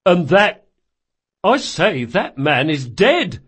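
Guy doing a blustery town watchman, raised pitch, incredulous, bit dim, storybook. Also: sing-song, takes it slowly, fairly bright tone, slightly rough, heavily acted. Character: startled lanky male watch sergeant, slow on the uptake.

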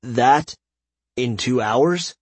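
A man's voice: mid-range voice, drawn-out words, husky, no room sound, voice pushed up. A man voicing a casual, easily startled fantasy marshal in an audiobook. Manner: casual, informal. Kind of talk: audiobook character voice.

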